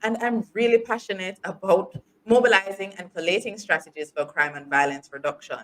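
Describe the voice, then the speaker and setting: A woman from Jamaica, hosting a podcast interview in a measured, deliberate way. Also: mid-range voice, steady tone, a bit bright, poor audio. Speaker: podcast host, social work educator.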